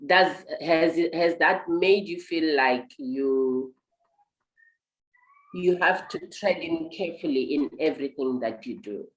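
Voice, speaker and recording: Very deep-voiced woman host, echoey room. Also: takes it slowly. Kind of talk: online interview.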